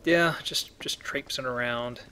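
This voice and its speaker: A man's voice: medium pitch, a little slow, sharp tone, slight background noise. A man hosting a casual let's play, chatting as he plays a video game. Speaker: video game player and host. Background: video game sounds.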